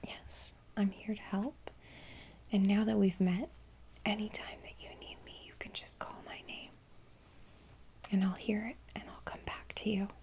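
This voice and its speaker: A young woman voicing a gentle female fairy in human form, whispering softly and reassuringly. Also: medium pitch, highs cut off, a little hiss or hum, natural voice. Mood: hushing, tender. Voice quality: breathy whisper. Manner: soft soothing whisper, reassuring and hushing. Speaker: ASMR roleplayer. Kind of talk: whispered ASMR roleplay.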